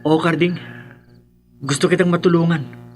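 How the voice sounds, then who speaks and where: A man's voice: a little high, steady tone, moderate pace, close to an everyday voice. A man playing a confident, protective and resolute friend in a Filipino radio drama. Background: faint music.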